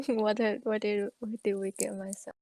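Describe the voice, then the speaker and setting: A woman's voice: mid-range voice, steady tone, normal speed, darkish tone, no room sound. A woman playing a tabletop roleplaying game, talking in casual chat.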